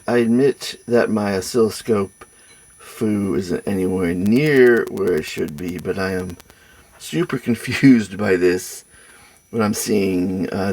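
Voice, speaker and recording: A man giving an informal technical demonstration, speaking hesitantly and haltingly as he thinks aloud. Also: lowish voice, a little mellow. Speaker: host of an electronics testing channel.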